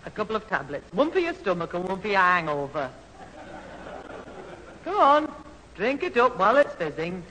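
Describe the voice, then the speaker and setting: A brisk middle-aged mother with a bright, animated voice. She scolds in the manner of a 1970s radio sitcom. The vintage recording has hall reverb and faint noise. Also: medium pitch.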